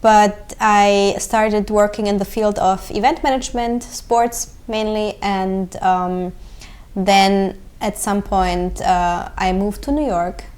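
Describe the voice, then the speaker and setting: Woman with a halting delivery. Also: medium pitch, steady tone, a little mellow. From Germany. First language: German. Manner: friendly, halting, many fillers. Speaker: podcast producer.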